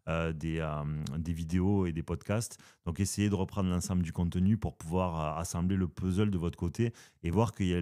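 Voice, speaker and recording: A man's voice: low-pitched, mellow. A man with a southern French accent hosting a casual philosophy podcast, hesitant with many fillers and playful asides. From southern France. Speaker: podcast host.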